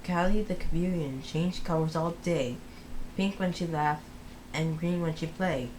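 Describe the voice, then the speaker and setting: A person reading a story aloud for children as host, with a deliberate, halting delivery full of pauses. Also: mid-range voice, noisy background.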